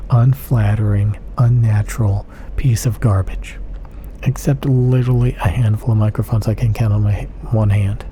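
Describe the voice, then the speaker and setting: Man, low voice, very mellow dark tone, close condenser mic, emphatic, repetitive adjective lists, drifts into whispers. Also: slight background noise. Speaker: audio gear reviewer. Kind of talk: casual spoken monologue. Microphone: close-up studio condenser mic. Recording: close-mic studio recording.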